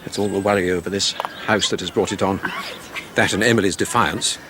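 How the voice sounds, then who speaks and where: A man's voice: low-pitched, steady tone, background noise, natural voice. An actor playing a stern, pompous middle-aged Victorian father with an English accent and formal manner in a radio drama. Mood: fretful, disapproving. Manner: formal, pompous, measured authority. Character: stern, stuffy Victorian father, fretful head of household. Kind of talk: 1990s British radio drama acting.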